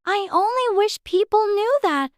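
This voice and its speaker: A tiny, very high little girl's voice for a storybook audiobook. She sounds earnest, curious and polite, with a childlike way of speaking. The recording is dry and close. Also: lively intonation, measured pace, no room sound. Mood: musing, pleased. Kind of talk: dramatized storybook audiobook acting.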